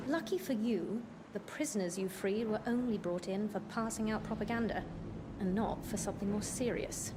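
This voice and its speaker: A woman's voice: mid-range voice, moderate pace, close to an everyday voice. Game role: aristocratic female commander woman actor, middle register, stern, formal, dryly wry, heavy background noise. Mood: wry, grudging. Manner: formal, authoritative, clipped reprimands. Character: stern noblewoman commander, imperious, wry, secretly lenient. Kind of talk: dramatic video game acting. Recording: loud background ambience noise.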